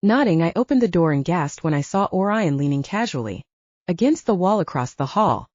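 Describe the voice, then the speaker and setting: Expressive woman narrating fiction, mellow, slightly dull sound. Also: on the low side, fairly quick, no echo. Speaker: audiobook narrator. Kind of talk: scripted first-person fiction narration.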